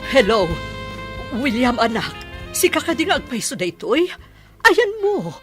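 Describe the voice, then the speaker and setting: A woman's voice: high-pitched, sing-song, a little slow, over music. A woman playing an elderly village mother, affectionate but aggrieved, in an Ilocano radio drama, with an emphatic motherly manner. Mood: pleading, relieved.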